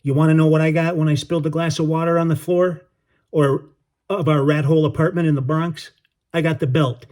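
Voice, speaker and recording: A man voicing a tough, blunt sailor with a New York accent and slangy, gruff talk. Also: medium pitch, steady tone, fast, mellow, close to an everyday voice. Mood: angry scolding.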